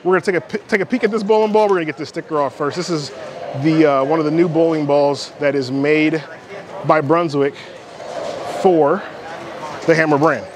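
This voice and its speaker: A man's voice: a little high, steady tone, a little fast, crowd noise. A middle-aged American man casually reviewing and demonstrating a product in a bowling alley, explaining in short conversational bursts. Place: large indoor bowling alley. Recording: clattering bowling alley noise. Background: bowling alley clatter and ambience.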